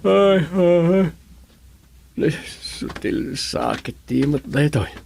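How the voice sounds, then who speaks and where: A man acts out a village councilman in an Ilocano comedy serial. His voice sits a little high and crackles with fry, taken at a slow pace in an Ilocano accent. There is faint noise behind him. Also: a little mellow. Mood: conversational. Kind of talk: Ilocano radio drama comedy acting.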